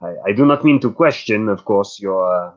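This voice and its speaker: A man playing a suspicious, stiffly polite gentleman guest in a mystery roleplay, halting and deliberate, on a dull microphone. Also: lowish voice, expressive, lightly acted. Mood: wary, uneasy. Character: wary, formal gentleman guest, suspicious and measured. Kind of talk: improvised roleplay dialogue.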